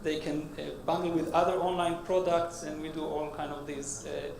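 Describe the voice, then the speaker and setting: A man with a Hebrew accent from Israel giving a startup pitch at a conference, halting and full of uh fillers. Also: level tone, creaky. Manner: halting, many uh fillers and restarts. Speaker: startup CEO presenting. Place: conference hall stage. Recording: echoey hall with noisy background.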